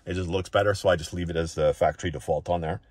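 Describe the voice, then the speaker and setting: Low-voiced man giving a casual tutorial. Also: steady tone, quick pace, darkish tone, no room sound, studio quality. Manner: informal, often ends points with a checking "right?". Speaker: tech reviewer presenting a settings walk-through.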